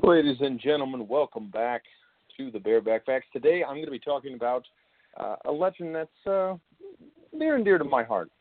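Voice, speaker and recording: Male host, native English speaker, halting informal explainer, phone-like and roomy sound. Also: mid-range voice, lively intonation. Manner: halting, frequent filler pauses. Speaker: show host. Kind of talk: informal solo explainer monologue. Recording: roomy, phone-like tinny line.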